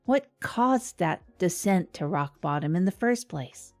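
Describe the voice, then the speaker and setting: A woman's voice: fairly low pitch, lively intonation, unhurried, a little mellow. Woman acting as a wry small-town radio host lady, rambly and hesitant, voice moves around a lot, music in it. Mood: wry, reflective. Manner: hesitant, rambling, self-deprecating asides. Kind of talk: scripted comedic radio monologue.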